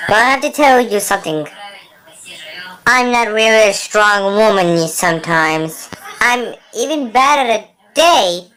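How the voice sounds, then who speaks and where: A woman voicing an excitable, lovelorn female fish creature in fan cartoon clips, theatrical and self-pitying, halting mid-sentence. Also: medium pitch, poor audio.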